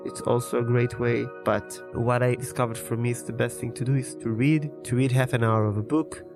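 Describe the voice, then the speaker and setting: A man's voice: mid-range voice, level tone, fairly quick, music in the background. A man whose first language is Hebrew, in his thirties, talking casually and at length about his daily routine as a podcast host. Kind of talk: casual personal monologue.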